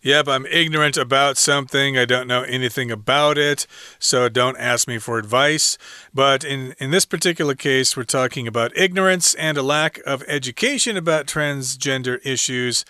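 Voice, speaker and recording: A man's voice: medium pitch, bright, forceful tone, no room sound, studio quality. A man co-teaching an English lesson. He casually restates each point in plain, everyday words. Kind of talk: explaining a reading passage.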